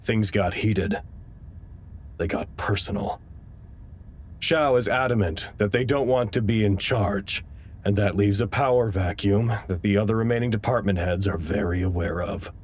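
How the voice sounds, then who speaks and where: A fairly low male voice from an actor plays an earnest, uneasy staffer at a research site. He speaks in a naturalistic audio drama style, measured and a little hesitant, as if keeping a careful log. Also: lightly acted. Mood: sober, weary reporting.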